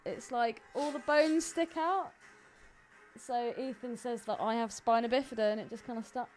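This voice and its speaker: A woman's voice: fairly high pitch, steady tone, normal speed, a little mellow, soft background music. A young woman co-hosting a podcast in casual banter, with a cheeky, irreverent manner. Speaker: podcast co-host.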